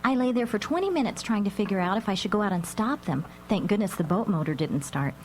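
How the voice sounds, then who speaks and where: A woman playing a caring, reasonable mother in a radio drama, gently teasing. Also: medium pitch, expressive, quick pace, close to an everyday voice. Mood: fond, scolding. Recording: live radio recording, noisy background.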